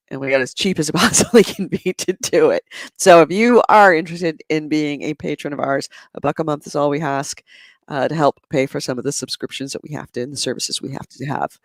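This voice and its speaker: A woman hosting a podcast in a casual chat, her voice hoarse and gravelly, talking in a chatty, animated way and laughing often. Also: low voice, no room sound. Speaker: podcast host. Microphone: adjustable microphone close to the mouth.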